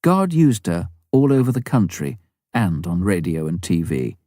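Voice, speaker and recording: A man reading an audiobook as scripted narration, with measured, clearly phrased delivery. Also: low voice, expressive, warm tone, no room sound, clean studio sound. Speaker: audiobook reader.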